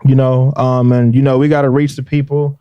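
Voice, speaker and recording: A man with a noticeably nasal voice talks loosely and at length, filling gaps with ums and you-knows. His voice sits at an ordinary pitch. He is recorded close on a studio mic with a dry, deadened sound. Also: level tone, a little fast, clean tone, no echo, muffled recording. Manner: laid-back, rambling with fillers. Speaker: rapper guest. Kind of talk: casual interview talk. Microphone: studio microphone.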